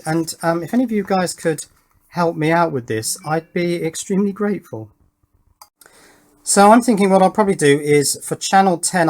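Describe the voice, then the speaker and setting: A man hosting a hobby project video, explaining technical details casually and thinking aloud in a deliberate, questioning way. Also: a little high. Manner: puzzled, deliberate, self-questioning. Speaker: host of an electronics project channel.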